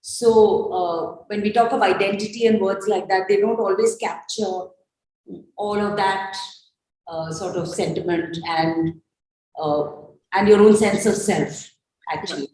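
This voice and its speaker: Female discussion host, mid pitch, reflective and halting delivery, heavily reverberant room. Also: mellow, a little hiss or hum. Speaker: host of a post-performance discussion. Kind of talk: unscripted spoken reflection at an arts event. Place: reverberant indoor event space. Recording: very echoey room.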